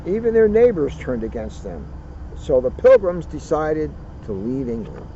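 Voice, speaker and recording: Male read-aloud storyteller, mellow tone, dramatic deliberate phrasing, outdoor recording with faint vehicle noise. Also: a little high, very animated, dull audio. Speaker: police officer reading a children's book. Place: outdoors at a turkey farm.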